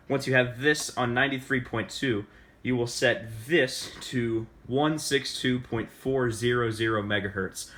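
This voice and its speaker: An American man hosting a casual hands-on how-to, speaking haltingly and often restarting or repeating his phrases, recorded on a phone. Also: medium pitch, pushed and bright, slight background noise. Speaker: tutorial host. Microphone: phone camera recording the demonstration.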